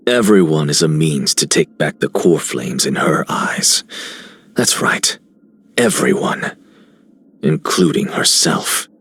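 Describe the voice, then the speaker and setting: A man playing a cold, defiant lone fighter in a video game, with a husky, brooding, restrained delivery. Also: extremely low pitch, expressive, takes it slowly, pauses often, fairly bright tone, full character voice. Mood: grim, brooding.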